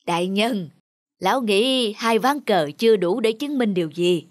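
An actor playing a wise, humble old countryside man in an animated folk tale, speaking politely and with measured calm. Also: sits high, no room sound, clean studio sound. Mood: calm, challenging. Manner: measured, polite, gently instructive. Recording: music under the dialogue.

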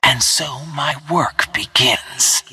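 An actress playing a stealthy, menacing female assassin in a video game, speaking in a hushed, husky voice with cold confidence and slow, deliberate delivery. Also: extremely low pitch, full character voice. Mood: quietly menacing. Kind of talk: video game combat voice lines.

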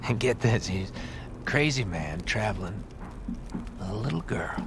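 An actor playing a calm, soft-spoken middle-aged American survivor leader in a video game, measured and halting, with quiet menace. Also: male voice, lowish voice. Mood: quietly menacing. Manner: measured, deliberate, halting pauses. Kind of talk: naturalistic video game cutscene acting. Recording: clear background noise.

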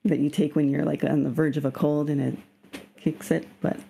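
A woman's voice: low-pitched, level tone, normal speed, gravelly, dark tone. A woman leading an online reading group on a video call. Her voice is slightly scratchy, and she explains patiently and keeps asking the group questions. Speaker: study group leader.

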